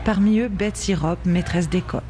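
A man's voice: sits high, steady tone, moderate pace, warm tone. A man reporting from an outdoor protest for the radio news in a formal way. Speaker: field reporter.

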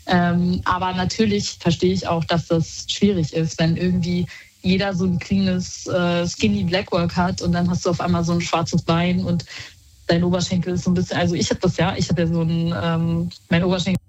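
A husky-voiced woman, a tattoo artist, chatting frankly and lively as a radio guest. Her voice comes through a slightly dull, muffled line. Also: lowish voice, normal speed. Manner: lively, frank, laughs while talking. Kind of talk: casual chat.

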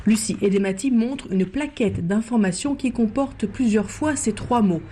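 A woman's voice: medium pitch, moderate pace, warm tone, slight background noise. A woman reporter reading a scripted radio report. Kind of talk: scripted news report narration.

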